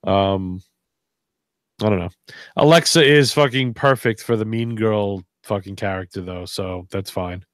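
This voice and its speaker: A man's voice: lowish voice, unhurried, dry recording. A man co-hosting a podcast in casual, sarcastic, rambling banter with plenty of swearing. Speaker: wrestling podcast co-host.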